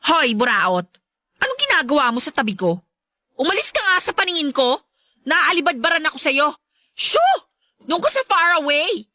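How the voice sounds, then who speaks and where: A woman's voice: high voice, sing-song, normal speed, pauses often, pushed and bright, no echo, studio quality, full character voice. A woman playing a spiteful, brazen stepmother figure in a Filipino radio drama, with a taunting, condescending, sugary manner and a Filipino accent. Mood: irritated, dismissive. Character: spiteful, brazen stepmonster girlfriend of a widowed father.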